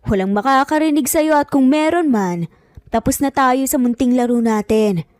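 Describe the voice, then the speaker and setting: A woman's voice: sits high, moderate pace, darkish tone, dry recording, studio quality, natural voice. A woman voicing a menacing, scornful older uncle, the villain of an audio romance, with a sneering, indignant manner. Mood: mocking, menacing. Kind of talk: dramatised audio romance storytelling.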